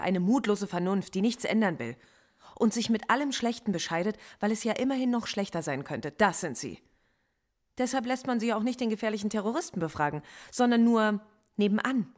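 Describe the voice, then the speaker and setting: A woman's voice: mid-range voice, close to an everyday voice. Defiant, cerebral female professor played by a woman. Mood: cool, scornful. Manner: measured, argumentative, coolly articulate. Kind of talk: German radio play acting.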